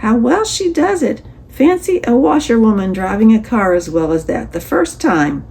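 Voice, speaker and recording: A woman voicing a kindly, jovial gentleman motorist in a storybook, encouraging and polite. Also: on the low side, expressive, moderate pace, vocal fry, slightly rough, exaggerated voice. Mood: hearty.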